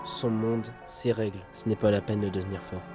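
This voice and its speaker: A man's voice: on the low side, level tone, normal speed, close to an everyday voice. A man voicing a bitter, defeated young rebel in an animation fan dub, bleak and resigned. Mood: bitter resignation. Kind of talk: dramatic animation dubbing. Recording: clear music behind the voice.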